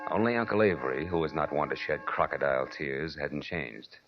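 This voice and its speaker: An actor playing a wry, weary private detective in a 1940s radio noir drama, with dry, deliberate, sardonic delivery. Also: male voice, a bass voice, animated, lo-fi sound, a little hiss or hum. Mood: reflective, tense. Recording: band-limited 1940s radio recording with music.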